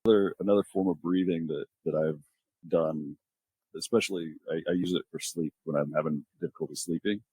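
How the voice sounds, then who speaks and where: Deep-voiced male nurse podcast co-host. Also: steady tone, warm tone, slightly rough, dry recording. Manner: halting, restarts mid-sentence when explaining. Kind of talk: casual podcast conversation.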